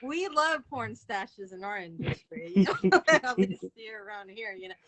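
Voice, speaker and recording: A woman's voice: medium pitch, lively intonation, a little slow, a bit bright. Chatty woman hosting a casual live interview. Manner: chatty, informal, laughs often. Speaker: interview host.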